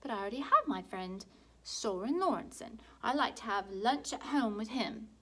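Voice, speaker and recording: Woman doing a fussy little storybook girl, high and insistent, sing-song lilt. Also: animated, moderate pace. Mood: worried.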